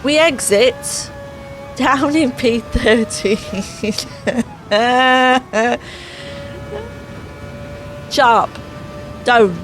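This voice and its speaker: A woman's voice: on the high side, expressive, extremely slow, long pauses, fairly bright tone. A woman giving sarcastic, exasperated voice-over commentary on a racing game while losing her voice. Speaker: gaming channel host. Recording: voice over clear background music.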